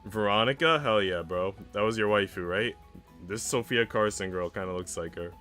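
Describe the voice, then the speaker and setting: A man's voice: on the low side, moderate pace, bright, forceful tone. A young man streaming, chatting casually in a slangy, laid-back way. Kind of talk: casual livestream chat. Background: music.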